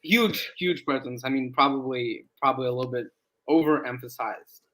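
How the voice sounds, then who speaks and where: A man guest with a bright, mid-pitched voice explains his academic field. He talks in a measured way and fills his pauses with "um". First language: English. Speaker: guest, academic in Slavic studies. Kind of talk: casual interview chat.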